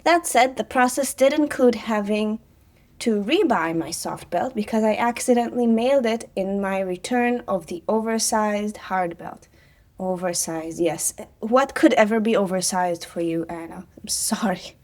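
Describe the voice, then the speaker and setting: A woman's voice: medium pitch, a little slow. A woman, chatting casually on her vlog with sarcastic asides and frequent fillers. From Israel. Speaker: vlogger, personal trainer.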